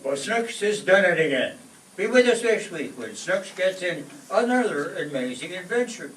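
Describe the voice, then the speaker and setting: A man's voice: high-pitched, very animated, moderate pace, a bit bright, a little hiss or hum. A man announcing in the style of an old-time radio show, reading scripted lines. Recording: echoey, distant mic, faint music.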